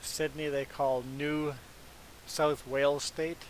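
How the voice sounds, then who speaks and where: A man from Canada whose first language is Low German, chatting casually as he hosts a livestream of a farming game. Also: level tone, slow pace, rough recording. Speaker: livestream gaming host. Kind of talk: casual chat while streaming a farming game. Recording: clear background hiss.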